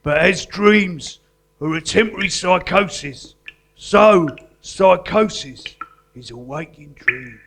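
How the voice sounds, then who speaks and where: A man's voice: high voice, takes it slowly, creaky, heavily acted. A man playing an earnest, scheming convict artist with colloquial speech in a live comedy drama. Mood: brooding. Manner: colloquial, ungrammatical working-class speech. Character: earnest scheming male guerilla artist convict, loyal to his accomplice. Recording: live recording with loud background noise.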